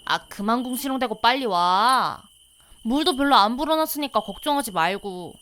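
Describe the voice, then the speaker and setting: A woman acting a teasing, bossy young investigator in a visual novel, expressive and quick to scold, with rain and insects behind her. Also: on the high side, a little hiss or hum. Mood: matter-of-fact.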